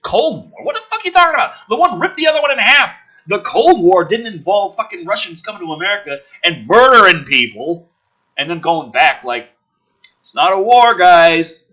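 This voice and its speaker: Animated, high-voiced sarcastic man. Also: brisk, husky, poor audio. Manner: sarcastic, sweary, theatrical. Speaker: host reading and riffing on a film script. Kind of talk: dramatic script reading with sarcastic commentary.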